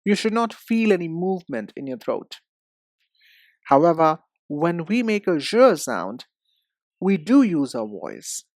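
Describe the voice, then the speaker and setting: Measured male teacher with lively pitch swings. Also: no room sound, clean studio sound. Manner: deliberate, instructive, addressing the learner directly. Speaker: English pronunciation teacher. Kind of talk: instructional lesson.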